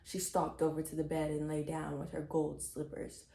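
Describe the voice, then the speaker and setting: A woman narrates a children's story with expressive, scripted delivery. Her voice is slightly low and very mellow. The recording sounds roomy and echoey. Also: moderate pace, creaky.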